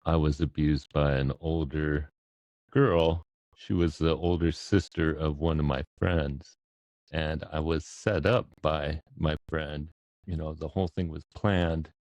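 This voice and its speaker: A man's voice: a bass voice, a little mellow, dry recording, muffled recording. A man speaking as a guest, telling a personal account to an online audience. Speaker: guest speaker, podcast co-host.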